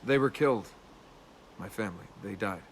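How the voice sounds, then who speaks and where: A man's voice: on the low side, normal speed, lightly acted. Guy acting young rogue adventurer for a game, cynical n wry, casual delivery, some background ambience noise. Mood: subdued. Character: cynical, roguish young male adventurer and tomb robber. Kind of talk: naturalistic video game dialogue.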